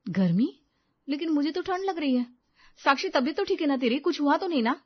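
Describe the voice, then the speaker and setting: A woman voicing an anxious, fussing, caring young daughter-in-law in an animated family story, fretful and insistent. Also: high voice, brisk, dull audio. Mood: worried.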